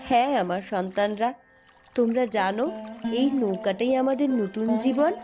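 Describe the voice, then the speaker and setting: High-voiced widowed mother, played by a woman, gentle but resolute, unhurried cartoon delivery over music. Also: close to an everyday voice. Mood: tender, hopeful. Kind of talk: cartoon story voice acting. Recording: music behind the voice.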